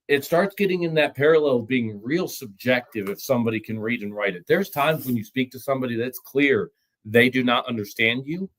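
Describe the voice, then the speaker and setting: Guy guest explaining stuff plainly, mid-pitch, restarts words now and then. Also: low-quality recording. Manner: matter-of-fact, explanatory, some stammered restarts. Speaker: trucking recruiting executive, guest. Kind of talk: podcast discussion.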